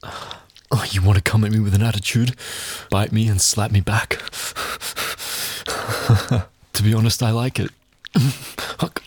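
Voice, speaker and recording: A man's voice: flat delivery, brisk, background noise. A man performing an adult audio roleplay in a dominant, taunting tone, with heavy breaths and muttered exclamations between lines. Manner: dominant and taunting, low intimate delivery.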